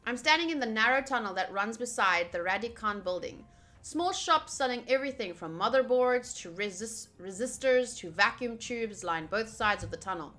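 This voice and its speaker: A woman's voice: a little high, expressive, moderate pace, sharp tone, soft background music. A woman livestreaming a visual novel playthrough, reading game text aloud and adding playful, chatty asides. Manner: playful, chatty, self-interrupting. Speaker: streamer playing a visual novel.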